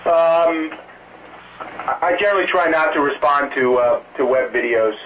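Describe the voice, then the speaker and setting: Muffled, distant phone-line man, halting spokesman. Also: fairly high pitch, a bit bright, slight background noise. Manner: halting, careful, hedging delivery. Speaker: political campaign spokesman. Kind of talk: press conference call, answering questions off the cuff. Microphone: telephone conference call.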